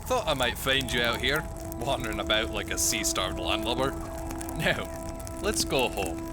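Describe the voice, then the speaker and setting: A man playing a gruff, aged but vigorous animal warrior, salty and commanding, in an audio drama. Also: mid-range voice, expressive, normal speed, natural voice. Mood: gruffly fond. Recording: loud music over the voice.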